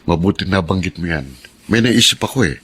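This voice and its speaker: An affectionate, generous husband in a Filipino radio drama. His voice is deep and forceful, with lots of up-and-down movement. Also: animated, fairly quick, husky, dry recording, full character voice. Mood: tender, eager.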